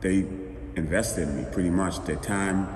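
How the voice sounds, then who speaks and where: A young man tells his story in a low voice, relaxed and rambling. He sounds distant from the mic, and his voice carries a long, roomy reverb. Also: normal speed, a little hiss or hum. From Bahamas. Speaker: guest. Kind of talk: casual interview storytelling. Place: outdoors. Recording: echoey, reverberant, from afar.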